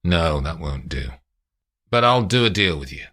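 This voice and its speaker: A man reading aloud voices a hunted crime boss who speaks in a measured, quietly threatening way. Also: deep voice, expressive, slightly rough, full character voice. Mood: calm menace. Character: hunted male crime boss, calm and menacing.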